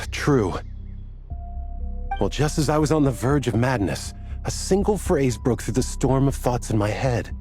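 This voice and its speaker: A man's voice: mid-range voice, animated, unhurried, darkish tone, soft background music, natural voice. An actor playing an earnest, slightly dazed man in a video game who rambles through a strange story. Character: dazed desert wanderer, adult man, earnest.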